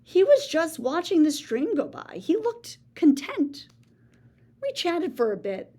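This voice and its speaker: A woman reads a children's story aloud in a lively, sing-song voice that swoops up and down. She takes her time, letting each line land unhurriedly, and drops into gasps and hushed asides to the listeners. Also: extremely high pitch, measured pace. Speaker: children's book reader.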